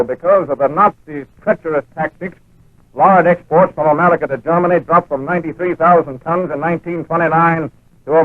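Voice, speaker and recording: A man's voice: on the high side, no echo, close to an everyday voice. Man acting a firm diplomat on tinny radio. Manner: authoritative, emphatic. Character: firm, earnest American embassy commercial attaché, narrating. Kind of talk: 1940s radio drama narration and acting. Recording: tinny, dry, narrow-band 1940s radio recording.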